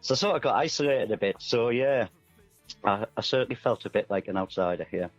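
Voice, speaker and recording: A middle-aged man with a low voice talks casually as a musician being interviewed. He sounds modest and thoughtful, on a slightly muffled lo-fi recording with music playing underneath. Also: animated, fairly quick. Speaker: musician guest. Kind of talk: casual radio interview chat.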